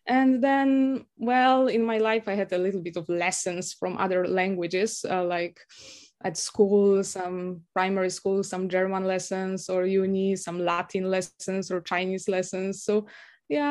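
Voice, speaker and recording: Woman, young adult, from Poland, native Polish speaker, hesitant with many fillers, mid pitch. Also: darkish tone. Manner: hesitant, many fillers and restarts, laughs often. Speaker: guest, university lecturer. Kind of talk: casual interview conversation.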